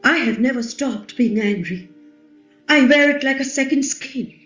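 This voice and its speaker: A fierce, proud queen of ancient epic , played by a woman in a play reading. She speaks slowly and formally in a fairly high voice, with a clear echo around her. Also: animated, a bit bright. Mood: bitter anger. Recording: echoey, slightly dull, music underneath.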